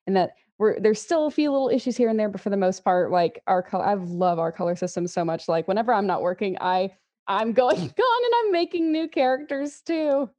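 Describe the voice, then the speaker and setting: Laughing young Texan woman, mellow voice. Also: medium pitch, a little fast. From Texas. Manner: lively, laughs often. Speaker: guest. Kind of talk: casual interview chat.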